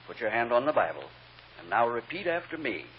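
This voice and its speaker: An actor playing a calm, patient judge, measured and mildly commanding, in an old radio courtroom drama. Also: male voice, mid-range voice, close to an everyday voice. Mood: patient, instructing. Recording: muffled, noisy old radio recording.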